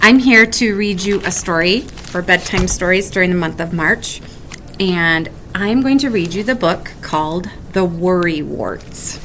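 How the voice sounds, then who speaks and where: A woman's voice: fairly low pitch, a little slow, a bit bright, a little hiss or hum, rough recording. A woman reading a children's picture book aloud as a storyteller, in bedtime-story narration. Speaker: storybook reader.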